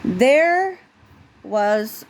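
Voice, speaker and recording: A woman who is a parent reading a storybook aloud in an expressive way, with casual asides. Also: fairly high pitch, drawn-out words, slight background noise.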